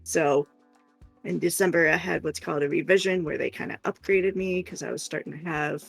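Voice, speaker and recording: A woman's voice: low voice, fairly quick, creaky, slightly rough, dry recording, faint music behind. A woman chatting casually and candidly as a livestream co-host, rambling informally.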